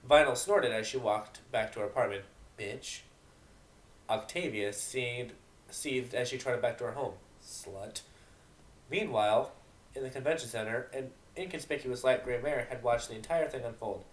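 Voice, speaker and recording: A man's voice: medium pitch, brisk. Man narrating a story in a roomy space. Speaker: narrator of a fan fiction dramatic reading. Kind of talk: amateur dramatic story narration with casual asides. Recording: roomy, echoing home recording.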